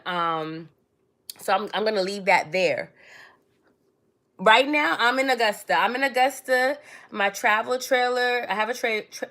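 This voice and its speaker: A woman's voice: mid-range voice, pushed and bright. A woman, born in Trinidad and raised in New York City, chatting casually to viewers on her own livestream and calling them darling and baby. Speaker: livestream host.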